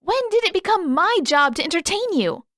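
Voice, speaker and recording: A woman's voice: extremely high pitch, sing-song, moderate pace, fairly bright tone, no echo, clean studio sound, pitched above the performer's own voice, exaggerated voice. A woman voicing a stubborn, exasperated teenage girl with an indignant, emphatic delivery in a story reading.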